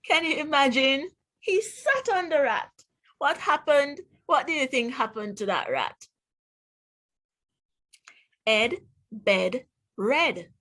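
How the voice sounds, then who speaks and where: A woman reading aloud to young children as a teacher, pronouncing words deliberately one by one and putting questions to the listener. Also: sits high, bright, forceful tone. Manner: deliberate word-by-word enunciation, asks the listener questions. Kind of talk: read-aloud phonics lesson for children.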